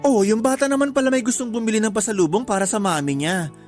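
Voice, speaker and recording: A man's voice: high-pitched, fast, a little mellow, soft background music, natural voice. A man playing a frank, scolding confidant in a radio drama, with a blunt questioning manner. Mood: exasperated. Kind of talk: Tagalog radio drama acting.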